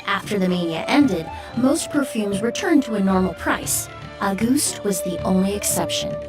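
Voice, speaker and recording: A woman playing a courteous, serene young perfumer in an anime game, speaking in a measured, refined and polite manner. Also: mid-range voice, lively intonation, recorded from a distance, over music, lightly acted. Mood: measured explaining. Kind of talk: anime game story dialogue.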